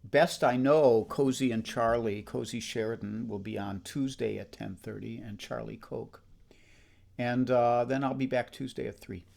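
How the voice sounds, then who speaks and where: Croaky-voiced man in his seventies. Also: medium pitch, normal speed, a little mellow. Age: 70s. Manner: rambling, folksy, self-deprecating. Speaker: musician hosting a live stream. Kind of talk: casual chat between songs. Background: acoustic guitar.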